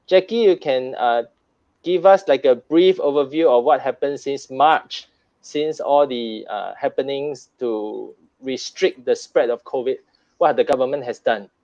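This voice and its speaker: A man's voice: medium pitch, measured pace, a little mellow, dry recording. Hesitant man hosting a dull-sounding panel. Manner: hesitant, repeats words while moderating. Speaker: host.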